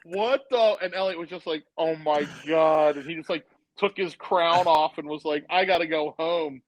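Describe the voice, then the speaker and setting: Man in his fifties, fairly high voice, dry close sound, joking rambling storyteller. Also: normal speed, no echo. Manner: joking, rambling storyteller, speeds up when excited. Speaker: guest. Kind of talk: casual chat, storytelling.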